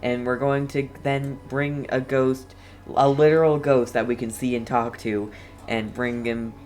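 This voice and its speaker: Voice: mid-range voice, steady tone, unhurried, darkish tone. A game streamer giving casual, chatty livestream commentary with light teasing.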